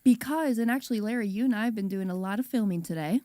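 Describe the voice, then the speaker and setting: A woman's voice: medium pitch, quick pace, dark tone, no echo. A woman, co-hosting a radio show in an upbeat, encouraging way. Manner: upbeat, encouraging, laughs often. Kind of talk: casual chat.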